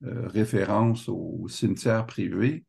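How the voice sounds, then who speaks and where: A man with a Québécois accent speaking on a panel in a halting way, with many restarts. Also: lowish voice, creaky, darkish tone, breathy edge. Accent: Québécois French. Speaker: association member and speaker. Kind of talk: panel talk.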